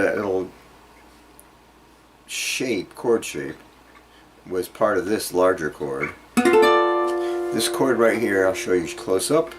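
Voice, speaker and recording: A man giving an informal guitar lesson, explaining piece by piece in a hesitant way as he demonstrates. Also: deep voice. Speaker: guitar teacher. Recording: loud guitar playing alongside voice. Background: acoustic or jazz guitar playing.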